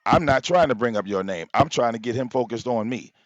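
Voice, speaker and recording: A man hosting a live stream, delivering a loud, profane, confrontational rant full of rhetorical questions. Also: mid-range voice, animated, brisk, no echo. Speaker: livestream host. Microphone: popping mic. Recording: dull, slightly lo-fi, dry sound.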